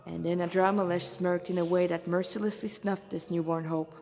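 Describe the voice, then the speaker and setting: A woman's voice: on the low side, fairly even intonation, brisk, creaky, a little mellow. A woman reading her own fan fiction aloud as narrator, with dramatic phrasing and the odd stumble. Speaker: fan fiction author and reader. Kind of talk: read-aloud story narration. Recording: reverberant echoey room.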